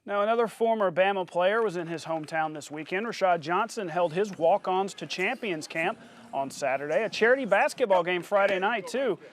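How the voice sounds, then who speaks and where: A male sports anchor with a notably high voice. He reads scores and narrates highlights in a punchy, announcer-like way, as on a local television sportscast. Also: lively intonation, fairly quick, a little hiss or hum.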